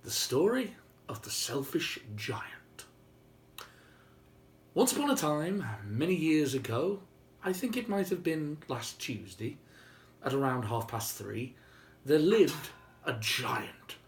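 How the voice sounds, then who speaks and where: A man telling a story informally and whimsically, with dramatic pauses and emphasis. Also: a little high, very animated. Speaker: storyteller and video host. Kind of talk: informal improvised oral storytelling. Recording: roomy, faint background noise.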